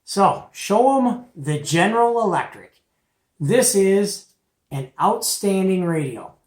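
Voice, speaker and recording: A man's voice: sits high, very animated, takes it slowly, darkish tone. A middle-aged man giving a casual, folksy and opinionated product show-and-tell as the host. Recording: echoey, roomy home recording.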